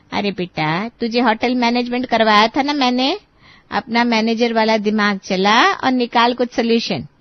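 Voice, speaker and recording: A woman's voice: a little high, no echo, highs cut off. A woman playing a feisty, teasing middle-aged mother in a dramatized story, scolding and encouraging her grown daughter.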